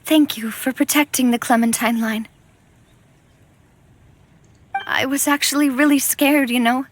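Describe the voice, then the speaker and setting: A woman playing a gentle but resolute young female boss in a video game, speaking in a measured, heartfelt way. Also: high voice, steady tone, slight background noise, close to an everyday voice. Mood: heartfelt, relieved. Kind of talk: English video game voice acting.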